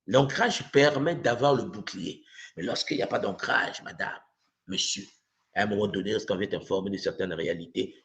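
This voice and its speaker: A man's voice: fairly low pitch, normal speed, gravelly, fairly bright tone. A man with a Congolese accent giving an impassioned spiritual lesson, using rhetorical questions and repeating himself for emphasis. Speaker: spiritual teacher. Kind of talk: impassioned spiritual teaching to an online audience.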